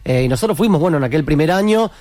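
An Argentine man speaks as a musician being interviewed. His voice sits a bit high for a man and is closely and dryly recorded. He explains things through anecdotes, often checking for agreement. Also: lively intonation, rapid-fire, a little mellow, no room sound. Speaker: musician in a tribute band, interview guest. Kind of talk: conversational interview answers, anecdotes.

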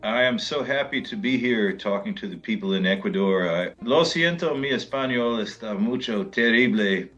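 A man's voice: mid-range voice, lively intonation, a little slow, hardly any pauses, a bit bright, dull audio. A man with an American accent, a guest musician answering interview questions in a friendly, hesitant way, often repeating words. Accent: American English. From United States. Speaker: guest jazz guitarist.

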